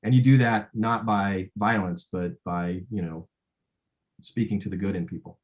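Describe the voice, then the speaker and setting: A man speaking as a film director in a casual interview, thinking aloud in a halting way. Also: low voice, fairly even intonation.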